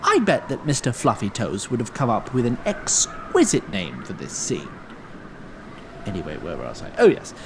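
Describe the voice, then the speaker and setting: A man's voice: medium pitch, wildly swooping pitch, moderate pace, noisy background. A man playing a wry, grandiose storyteller who narrates a fantasy tale in theatrical style and wanders into droll asides. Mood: wry, rambling.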